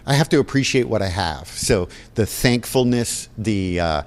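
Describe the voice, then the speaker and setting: A man's voice: fairly low pitch, lively intonation, normal speed. A man explaining things earnestly and enthusiastically in a conversational interview. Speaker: theater technical director and stagecraft instructor. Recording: reverberant hall-like room.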